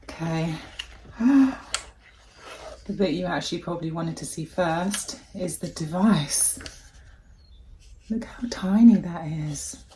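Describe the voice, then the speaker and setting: Woman, deep voice, slow talker, chatty vlogger at home. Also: noisy background. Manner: gushing, chatty, thinking aloud. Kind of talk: casual unboxing chat.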